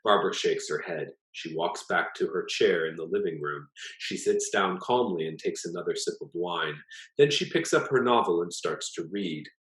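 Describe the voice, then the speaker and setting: A man with a very low voice reads a piece of literary fiction aloud. His delivery is measured and plain, like an author presenting his own story, and the room around him echoes noticeably. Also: lively intonation, fairly bright tone. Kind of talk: literary story reading, scripted narration. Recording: echoey, roomy sound.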